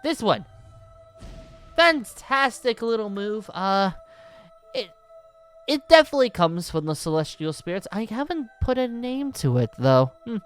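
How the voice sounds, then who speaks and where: A man's voice: sits high, sing-song, measured pace, long pauses, fairly bright tone, clean tone, heavily acted. A man playing a boastful, jovial ancient dragon slayer wizard in a theatrical, formal manner for an improvised Minecraft roleplay. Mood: boastful, playful. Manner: theatrical, grandly formal, chuckling. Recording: background music under the voice.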